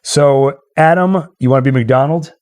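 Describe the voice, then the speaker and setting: A man's voice: medium pitch, normal speed, darkish tone. A man hosting in a casual, chatty style, explaining things to his friends as he goes. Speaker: host and narrator of radio play.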